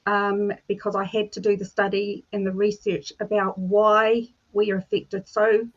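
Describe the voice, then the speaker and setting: A woman from New Zealand, a life coach, telling her personal story in a measured way over a video call. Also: mid-range voice, flat delivery, dull audio.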